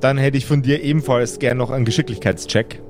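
A male game master improvises his narration over clearly audible music. His mid-range voice halts, searches for words and corrects itself as he describes the scene to players. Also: medium pitch, steady tone, quick pace.

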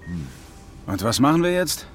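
A man's voice: medium pitch, big pitch swings, normal speed, faint music behind, natural voice. An actor playing a worried male astronaut who keeps asking questions.

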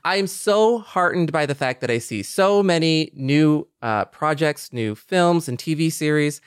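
Smooth, clear, mid-pitched podcast speaker. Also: animated, unhurried, no room sound, studio quality. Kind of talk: casual podcast chat.

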